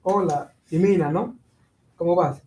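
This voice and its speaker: A man's voice: high voice, normal speed, background noise, natural voice. A man playing an evasive, easygoing young construction worker in a staged play reading, hesitant and casual, in an echoing room. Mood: sheepish. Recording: roomy, echoing sound.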